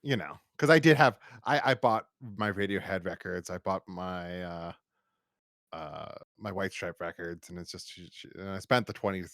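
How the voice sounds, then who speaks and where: American male podcast host, on the low side, chatty and stumbling over restarts, recorded dry. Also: moderate pace, a bit bright, no echo. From United States. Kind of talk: casual review podcast chat.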